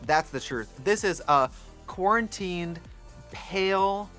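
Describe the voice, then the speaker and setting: A male host with a somewhat high voice, chatting in a playful, teasing way. He fires off quick-witted jokes at his guest, with faint music underneath. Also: lively intonation, pushed and bright. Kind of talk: casual chat.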